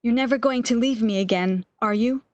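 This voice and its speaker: A woman's voice: on the high side, a little fast, dry recording, highs cut off, close to an everyday voice. A woman playing a frail, fearful, lovesick woman, pleading emotionally in an amateur radio drama. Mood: yearning.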